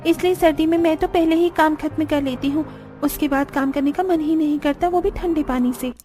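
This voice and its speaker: A woman's voice: sits high, fairly even intonation, a little fast, warm tone, close to an everyday voice. A woman voicing a friendly, chatty young village daughter-in-law and neighbour in a cartoon. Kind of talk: Hindi cartoon story dialogue. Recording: music behind the voice.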